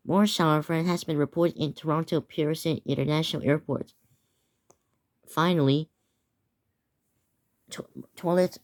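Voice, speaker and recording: Teen boy stumbling through a mock alert. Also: fairly high pitch. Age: teens. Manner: stumbling, restarting words while reading out an alert. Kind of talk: improvised mock emergency broadcast.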